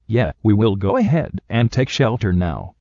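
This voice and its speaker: Male TV anchor played by a man for hurricane drama: lowish voice, composed urgency, dry lo-fi recording. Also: very animated, moderate pace, dark tone, no echo. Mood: urgent, concerned. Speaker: news anchor. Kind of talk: scripted breaking weather news. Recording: dull, lo-fi, dry sound.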